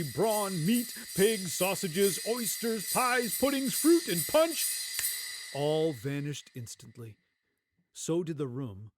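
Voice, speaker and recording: A man narrating a scripted story as a theatrical, dramatic and expressive storyteller. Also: on the high side.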